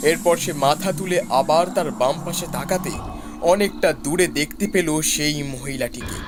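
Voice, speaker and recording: A man with a fairly high voice narrates a scripted story. Music plays clearly behind him as he tells it.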